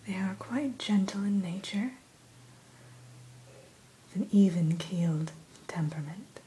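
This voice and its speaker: A woman speaks entirely in a soft, breathy whisper, very slowly and gently. She plays a courteous fantasy shopkeeper in an ASMR roleplay. A faint steady hiss sits behind her voice. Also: mid-range voice, rough recording. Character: gentle, courteous female keeper of a dragon hatchery.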